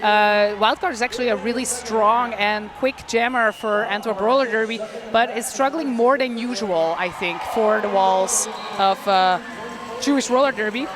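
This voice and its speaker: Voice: medium pitch, moderate pace, crowd noise. Roller derby commentator. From Belgium. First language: Dutch. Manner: chatty, self-deprecating, laughs often. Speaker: play-by-play commentator. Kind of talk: live sports commentary.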